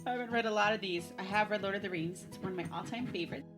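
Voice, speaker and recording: A woman's voice: mid-range voice, racing, over music. A woman in her thirties presenting as a school librarian host, talking casually to camera for students. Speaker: school librarian hosting a virtual library show.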